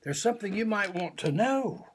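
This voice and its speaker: An older man voicing a patient, gentle mother bear in a storybook, coaxing and teacherly, with a husky voice. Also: sits high, lively intonation, moderate pace, pitched above the performer's own voice. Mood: cautioning.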